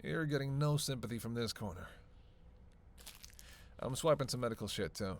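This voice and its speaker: A man's voice: medium pitch, animated, normal speed, natural voice. A man playing a flirty, sardonic vampire in a roleplay audio drama, with casual, teasing, profanity-laced banter. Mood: dry, practical. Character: flirty, sardonic male vampire on a road trip.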